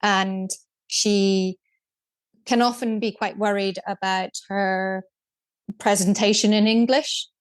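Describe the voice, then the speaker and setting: A woman speaking as a podcast guest, explaining things in a measured way with frequent restarts. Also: medium pitch, steady tone, smooth. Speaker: guest, deputy programme director. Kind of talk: casual podcast conversation.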